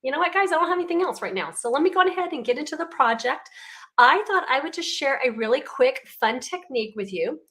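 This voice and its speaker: Raspy, congested woman demonstrator. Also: high-pitched, expressive, quick pace. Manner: chatty and warm, with frequent ums. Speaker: card-making demonstrator. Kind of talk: casual craft tutorial.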